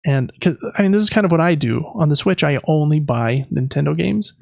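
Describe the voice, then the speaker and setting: Guy doing casual podcast news, rambly, lots of fry, dry slightly muffled sound. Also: on the high side, lively intonation, brisk, darkish tone, no echo. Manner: informal, rambling, self-correcting. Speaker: podcast co-host. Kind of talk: casual gaming news chat.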